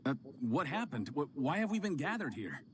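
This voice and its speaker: A man's voice: a little high, very animated, moderate pace, a little hiss or hum. Hesitant grieving townsman. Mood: doubtful. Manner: hesitant, pleading. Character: grieving townsman, reluctant dreamer.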